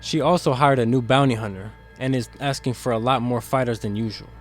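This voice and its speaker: A male actor voices a guarded demon who carries his guilt into every word. He is earnest and quick to defend himself, in a middling male pitch. It is audio drama, with music playing behind him. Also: natural voice. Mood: discreet, earnest. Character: guarded, conscience-stricken demon insider smuggling secrets to captive.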